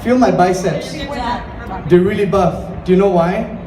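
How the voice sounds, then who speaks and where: A man's voice: high voice, measured pace. A man from Singapore performing a conversational stand-up comedy set, swearing freely and acting out bits of dialogue. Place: indoor comedy venue with audience. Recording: echoey, distant live room with crowd noise.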